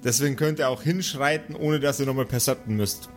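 A man runs an improvised pen and paper game. He narrates in an average-pitched voice with a playful showman's delivery. Soft music sits behind him. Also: a little fast. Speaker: game master. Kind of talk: improvised tabletop role-play narration. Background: faint background music.

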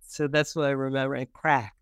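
Even-toned, smooth middle-aged man. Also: medium pitch, normal speed, dry recording, clean studio sound. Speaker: guest, children's book author. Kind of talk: casual interview talk.

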